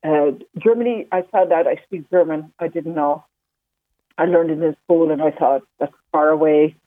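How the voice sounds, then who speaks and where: A lower-voiced woman from the Netherlands speaks over a tinny, narrowband phone line. She talks haltingly, with many ums and restarts. The sound is dry and close, with no room around it. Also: fairly even intonation, no room sound. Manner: hesitant, many fillers and restarts. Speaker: interview guest, walking pilgrim. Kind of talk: phone interview.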